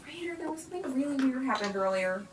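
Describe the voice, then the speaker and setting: Woman, high voice, chatty game player, echoey and distant from the mic. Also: expressive, fast, background noise. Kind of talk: casual in-character chat at a game table. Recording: echoey room, off the mic, music.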